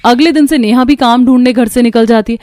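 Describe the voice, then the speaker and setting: High-voiced woman narrating a cartoon story. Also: fast, mellow, no room sound.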